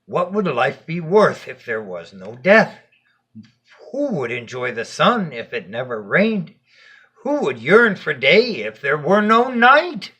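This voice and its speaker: A man as Death, gentle, slow and husky. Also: fairly high pitch, very animated, heavily acted. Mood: wise, reflective. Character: Death, gentle, wise, cloaked male storyteller.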